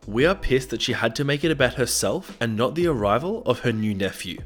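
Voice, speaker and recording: A man who is sick and slightly raspy, narrating reddit stories with casual, sarcastic asides. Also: mid-range voice, fairly bright tone. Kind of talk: reading reddit stories aloud. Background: faint background music.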